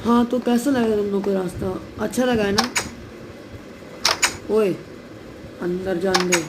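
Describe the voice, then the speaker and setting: A man with a sore throat gives casual, chatty gameplay commentary, talking straight to his viewers and often clearing his throat. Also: sits high, measured pace. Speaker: gameplay video creator. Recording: noisy recording with clicks.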